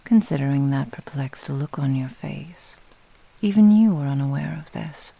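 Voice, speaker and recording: A woman voicing a possessive, seductive fox-spirit witch in a whispered ASMR roleplay, teasing and menacing in a breathy, husky murmur. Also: low-pitched, mellow, no room sound. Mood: intrigued, condescending. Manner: slow, teasing, purring menace.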